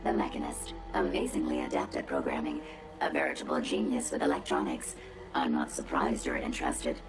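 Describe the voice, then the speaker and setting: A woman's voice: a bass voice, lively intonation, a little fast, creaky, faint music behind, full character voice. An actress playing a haughty, condescending female robot with a robotic filter, sardonic, precise and pompous in a video game. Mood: smug, sardonic. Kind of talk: video game cutscene acting.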